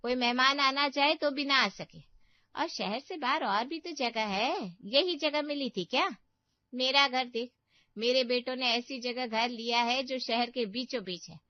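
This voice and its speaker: A woman's voice: sits high, a little slow, no echo. A woman voicing a boastful, fault-finding elder aunt in a Hindi cartoon family story, with a scolding, exclamatory manner. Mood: scolding, complaining. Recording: dull, muffled studio voice track.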